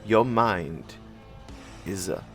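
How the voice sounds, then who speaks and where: A man voicing a mysterious male street psychic who fakes a Jamaican accent, taunting with smooth, unsettling prophecies in an audiobook. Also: deep voice, very slow, a bit bright, faint music behind, pitched below the performer's own voice, exaggerated voice. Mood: dreamy. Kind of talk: audiobook character voice.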